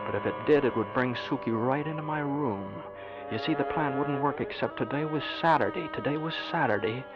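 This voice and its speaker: An actor playing a desperate, vengeful wounded soldier in an old-time radio drama, urgent and bossy. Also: male voice, mid-range voice, a little fast, muffled recording, loud music behind the voice, natural voice. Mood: brooding, desperate. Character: desperate, vengeful wounded war veteran, a man in a wheelchair. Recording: vintage radio recording.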